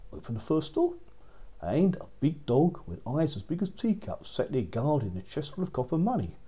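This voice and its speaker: A man's voice: on the high side, very animated, normal speed, mellow, breathy edge. A man narrating a fairy tale for children as a storyteller, repeating phrases for effect.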